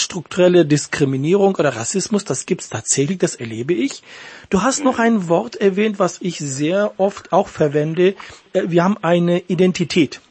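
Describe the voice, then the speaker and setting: A man's voice: on the high side, breathy edge, no echo. A man interviewing a guest, asking searching questions with some hesitation. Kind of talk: interview conversation.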